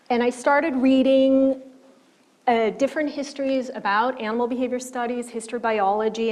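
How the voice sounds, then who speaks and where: Swiss-born woman historian, middle-aged, lecturing from slides, measured and explanatory, slightly high voice. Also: darkish tone. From German-speaking Switzerland. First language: Swiss German. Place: lecture hall.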